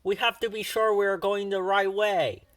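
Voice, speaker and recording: A man voicing a worried male train driver who shouts urgent warnings in a children's storybook. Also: high voice, fairly even intonation, normal speed, distant mic, voice pushed up. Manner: urgent, loud.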